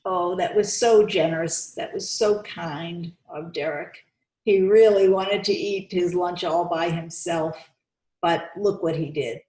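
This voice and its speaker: A woman reading a storybook aloud to young children, expressive and coaxing, stopping to put questions to her listeners. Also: fairly low pitch, unhurried. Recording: roomy, echoey sound.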